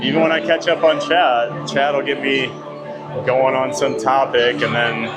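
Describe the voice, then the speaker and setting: A man's voice: medium pitch, flat delivery, a little slow. A man live-streaming from an outdoor theme park on a phone, chatting and rambling as he reads viewer comments aloud. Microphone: phone streaming rig. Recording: loud park music behind. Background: loud theme park music.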